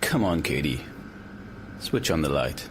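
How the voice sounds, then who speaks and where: A man's voice: extremely low pitch, big pitch swings, measured pace, full character voice. An actor playing an impatient, dismissive male colleague in an audio drama. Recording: clear background hum and noise.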